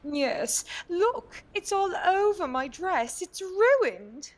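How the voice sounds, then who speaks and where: Indignant Victorian lady, piercingly high pitch, slow drawling, fussy, audio play. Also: big pitch swings, exaggerated voice. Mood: upset. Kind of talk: audio drama acting.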